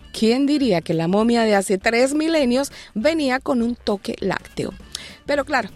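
A woman's voice: mid-range voice, darkish tone. A woman hosting a light news segment, reading scripted stories with playful, joking asides. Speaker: news show host. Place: lives in Australia.

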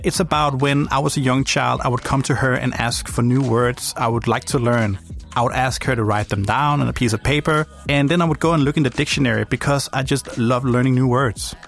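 A young man from Denmark with a Danish accent, hosting a podcast in reflective, gently playful scripted narration. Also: medium pitch, gravelly. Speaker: podcast host.

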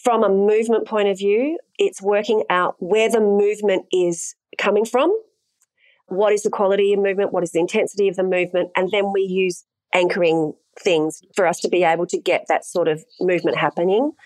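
A woman's voice: medium pitch, brisk, darkish tone, low-quality recording. A middle-aged woman answering interview questions as an intimacy coordinator, explaining things thoughtfully with frequent fillers.

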